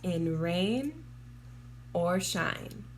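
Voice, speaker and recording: Slow-paced woman reading a storybook. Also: fairly low pitch, slight background noise. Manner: measured, deliberate, expressive phrasing with drawn-out words. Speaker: children's storybook reader, teacher. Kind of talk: read-aloud storybook narration for young children.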